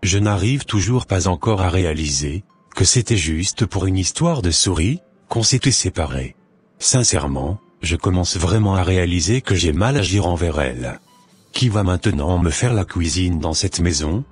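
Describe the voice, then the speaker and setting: A man playing a remorseful, lonely husband in a scripted cartoon drama, speaking in an earnest, deliberate way. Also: low-pitched, lively intonation, slightly rough, soft background music. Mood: regretful, yearning. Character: remorseful, lonely husband swallowing his pride. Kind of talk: scripted animated drama monologue and dialogue.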